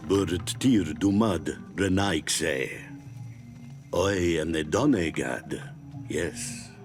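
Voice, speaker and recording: A man's voice: low-pitched, extremely slow. An actor playing a stern, scornful wise man of a native village in a video game, solemn and disdainful. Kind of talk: video game character acting. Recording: music under the dialogue.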